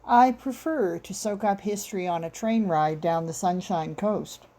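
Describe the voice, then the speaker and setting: Woman acting a male cop, dry, unflappable, understated, mellow, short-story reading. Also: lowish voice, a little slow. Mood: dry, wry. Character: wry, unflappable male police detective, the sensible partner.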